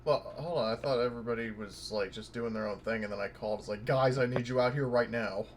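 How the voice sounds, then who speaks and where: A man's voice: mid-range voice, fast talker, a little hiss or hum. A man bantering casually as a player in a tabletop roleplaying game.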